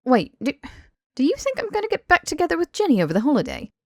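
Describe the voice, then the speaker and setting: A woman's voice: sits high, huge pitch swings, quick pace, a little mellow, no echo, studio quality, pitched above the performer's own voice, exaggerated voice. A woman voicing a casual young man, wry and easily flustered, protesting informally in an audiobook. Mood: indignant. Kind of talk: audiobook character dialogue.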